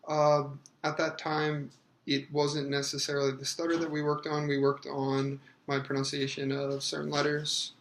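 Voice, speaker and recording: A man in his thirties answering interview questions hesitantly, with many fillers and pauses. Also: a little high, completely flat delivery, a bit bright, roomy sound, a little hiss or hum. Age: 30s.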